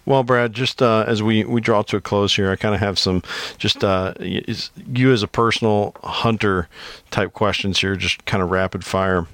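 A man's voice: lowish voice, steady tone, normal speed, a bit bright, dry recording. A male podcast host talking casually and thoughtfully, meandering with fillers and repeats. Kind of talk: casual conversational interview.

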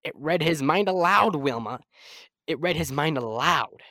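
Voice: medium pitch, lively intonation, a little slow, pushed and bright, no room sound, clean studio sound. Amateur actor as eager, lecturing radio-serial scientist. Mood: excited, triumphant. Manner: wordy, lecturing, technical explanations. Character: excitable, proud old-time male scientist-inventor, learned and eager. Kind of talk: old-time radio serial acting, by amateur school players.

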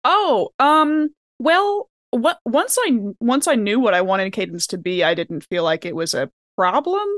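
A woman's voice: a little high, lively intonation, measured pace, few pauses, a bit bright, no room sound, studio quality. A woman chatting casually as a podcast cast member, often laughing as she talks. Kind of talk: casual chat.

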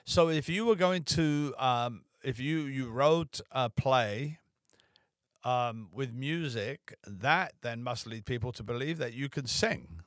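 A man's voice: mid-range voice, slow, a bit bright, no echo, clean studio sound. A man hosting a podcast, asking long, winding questions in an easygoing, conversational way. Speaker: podcast host. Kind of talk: casual interview conversation.

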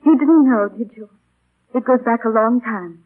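A woman's voice: fairly high pitch, moderate pace, lightly acted. An actress plays a proud, tender young woman who is hopelessly in love. Her delivery is wistful and theatrical, in the manner of 1940s radio acting. The sound is that of a thin, narrow old broadcast. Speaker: radio actor. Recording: thin, band-limited 1940 radio broadcast.

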